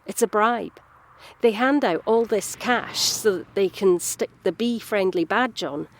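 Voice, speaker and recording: A woman's voice: mid-range voice, measured pace, a little hiss or hum, close to an everyday voice. An actress playing a frank, caring working woman, explaining things earnestly in a naturalistic audio drama.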